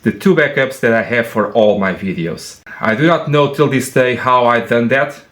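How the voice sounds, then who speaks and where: A man's voice: medium pitch, fairly bright tone. Portuguese-accented man in an echoey workshop. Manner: halting, many restarts and fillers, earnest. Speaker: car mechanic and channel host. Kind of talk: apologetic casual vlog talk. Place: car workshop.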